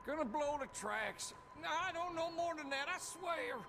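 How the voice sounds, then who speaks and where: An actor playing a frightened American outlaw held captive in a video game, halting and submissive. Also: male voice, very high-pitched, expressive, creaky, heavy background noise, heavily acted. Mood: scared, pleading. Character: frightened captured male outlaw, pleading and submissive. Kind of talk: dramatic video game cutscene acting.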